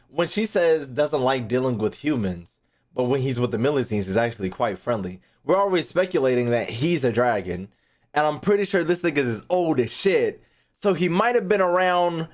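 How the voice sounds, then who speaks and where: A young man giving casual, slangy live-stream commentary, swearing freely and repeating phrases as he thinks aloud. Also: a little high. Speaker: streamer reacting to game voice lines.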